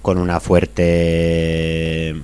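A man hosting a casual political radio chat, relaxed and leading the talk with short prompts. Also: deep voice, very slow, clear, resonant tone.

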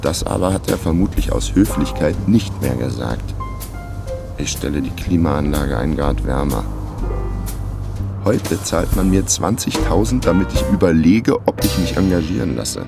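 A man's voice: sits low, lively intonation, normal speed, gravelly, music in the background. An actor playing a wry, laconic, down-on-his-luck man of thirty in a radio crime drama. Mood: wry, brooding. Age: 30s. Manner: laconic, sardonic. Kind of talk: radio crime drama first-person narration.

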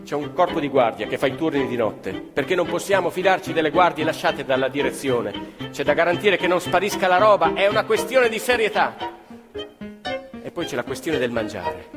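A man telling a story on stage in the first person, emphatic and lively. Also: a little high, a little fast. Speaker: stage actor narrator. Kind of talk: theatrical storytelling. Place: theatre stage. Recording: live theatre with long reverb. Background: live violin and piano.

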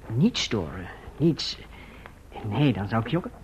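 An actor playing a patient, doting young husband in an old radio drama, speaking in a tender, coaxing, earnest way. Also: male voice, on the low side, sing-song, takes it slowly, a little mellow. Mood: doting, teasing. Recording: dull, faintly noisy old radio recording.

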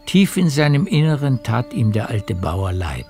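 A man narrating a literary radio play as a measured storyteller. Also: medium pitch, very animated, a little mellow, soft background music.

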